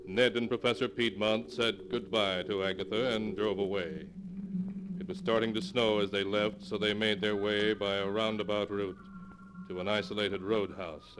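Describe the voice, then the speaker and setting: A man narrating a 1940s radio horror tale in a solemn, dramatic storytelling manner. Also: on the low side. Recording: noisy vintage 1940s radio recording.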